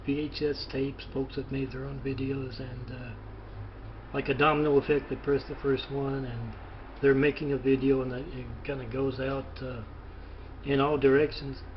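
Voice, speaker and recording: A man rambling haltingly through a phone interview, with hesitant pauses and a muffled, noisy recording. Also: mid-range voice, little pitch movement. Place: phone call.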